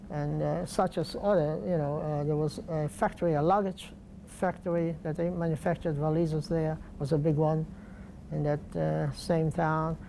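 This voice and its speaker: An older man from Poland, speaking slowly and deliberately with pauses in an oral history interview. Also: a little high, dark tone, a little hiss or hum, rough recording. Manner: slow, deliberate, halting with pauses.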